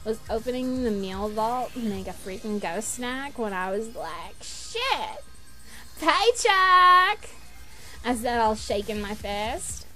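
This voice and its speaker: A woman voicing an exuberant, brash young troll gamer girl who talks in drawn-out slang in an amateur cartoon fandub. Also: mid-range voice, close to an everyday voice. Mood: gushing, excited. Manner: exuberant surfer-style slang, drawn-out exclamations. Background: music.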